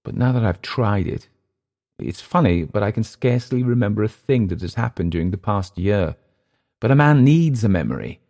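A man voicing a bitter, dissolute Englishman with an English accent, sneering and halting by turns. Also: lowish voice, lively intonation, darkish tone, pitched above the performer's own voice. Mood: uneasy.

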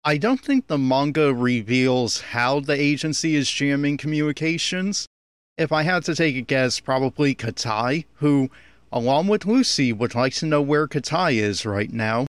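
A man with a medium-pitched voice records an audio commentary on an anime. He speaks as an opinionated critic, and the sound is dry, with very little room to it. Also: moderate pace, smooth, no room sound.

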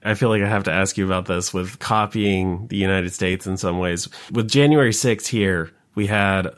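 A man's voice: deep voice, moderate pace. A man interviewing a guest on a podcast, phrasing his questions conversationally in a tentative, roundabout way.

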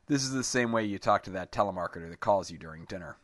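A man reads a first-person short story in a very deep voice. His delivery is slow and measured, and he is recorded close and dry, with no room sound. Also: expressive, a bit bright, no echo. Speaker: story reader.

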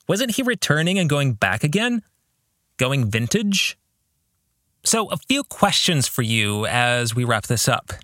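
A man's voice: medium pitch, sharp tone, no room sound, clean studio sound. A man hosting a podcast in a reflective monologue, speaking to listeners with earnest, emphatic phrasing. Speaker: podcast host and author, reading own book.

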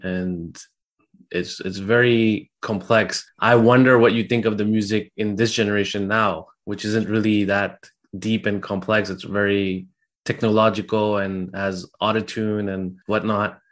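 A man's voice: fairly low pitch, steady tone, measured pace. A man hosting a conversational interview who asks questions and chimes in with short, encouraging replies. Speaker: podcast host.

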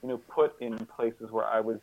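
A man's voice: lowish voice, fairly even intonation, normal speed, gravelly. A man speaking as a guest expert in an interview, reflective and earnest, often repeating words as he thinks. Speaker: guest author and social scientist. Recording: muffled phone-like narrow-band line.

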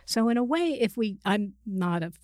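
A woman's voice: mid-range voice, animated, normal speed, darkish tone, no echo, clean studio sound. A middle-aged or older woman speaking as an expert interview guest, reflective and hesitant, often correcting herself mid-sentence. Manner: hesitant, hedging, self-correcting. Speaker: psychiatrist and author, interview guest.

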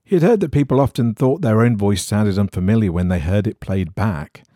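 A man reading an eerie horror story in a measured, suspenseful storytelling manner. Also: lowish voice, expressive, warm tone, no echo, clean studio sound. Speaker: story reader.

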